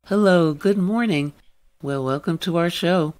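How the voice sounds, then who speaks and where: A man's voice: sits high, a little slow. A man hosting a radio interview and putting questions to a guest.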